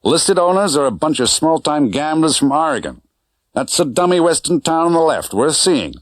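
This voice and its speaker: Man putting on a brash, higher-pitched American cabbie. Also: sing-song, normal speed, no room sound, clean studio sound. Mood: showy, chatty. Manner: slangy, familiar, showy tour-guide patter. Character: brash, chatty American taxi driver showing off Las Vegas.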